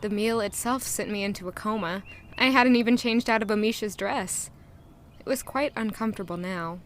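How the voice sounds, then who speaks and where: A woman's voice: mid-range voice, moderate pace, slight background noise, close to an everyday voice. An actress narrating as a young female castle maid, in an earnest, introspective first-person way. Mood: reflective. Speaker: narrator and lead character.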